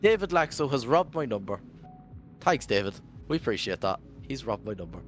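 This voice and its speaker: A Scottish man commentating a virtual drift event in a jokey, rambling way, his voice worn and going hoarse. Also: mid-range voice, sing-song, a little fast, soft background music. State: losing his voice. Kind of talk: live esports commentary.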